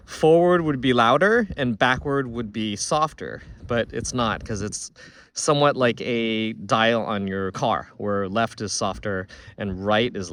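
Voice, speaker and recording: A man's voice: medium pitch, a bit bright. A man giving a casual, unscripted product review, thinking aloud in a conversational way as he goes.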